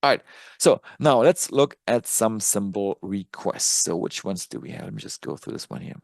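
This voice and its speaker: A man's voice: fairly low pitch, expressive, normal speed, vocal fry, dry recording, clean studio sound. A native German-speaking man presenting casual live stock chart commentary, conversational and thinking aloud as he works. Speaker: trading analyst and presenter.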